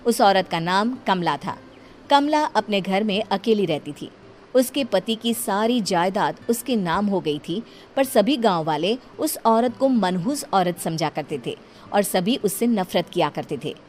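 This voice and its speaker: Mid-pitched woman narrating a story. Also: lively intonation, a little slow, darkish tone, a little hiss or hum.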